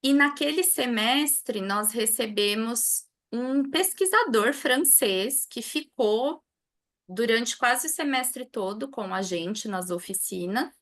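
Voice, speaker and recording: A Brazilian woman teacher giving a relaxed, conversational talk, often ending sentences with a questioning "né". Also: a little high, slow pace. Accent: Brazilian Portuguese. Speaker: language teacher and guest speaker.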